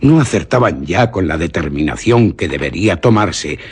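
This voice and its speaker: A man narrates a radio horror drama. His voice is extremely deep and very husky, with animated rises and falls in the scripted delivery. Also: normal speed.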